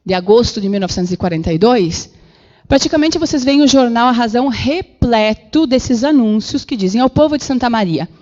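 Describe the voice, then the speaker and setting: A woman giving an animated public lecture with slides in a council chamber, acting out the voices she quotes. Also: fairly high pitch, dull audio. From Brazil. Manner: animated, acts out quoted voices, many fillers. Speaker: guest lecturer.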